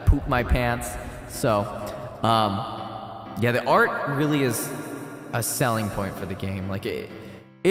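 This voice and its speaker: A man's voice: mid-range voice, fairly bright tone. A man streaming a game and chatting casually, with reverb on the voice. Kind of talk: casual livestream chat. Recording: reverberant, echoey voice effect.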